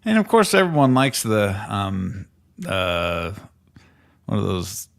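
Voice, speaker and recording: A man co-hosting a casual livestream podcast, relaxed and self-deprecating. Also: lowish voice, slow pace, creaky. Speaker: podcast co-host.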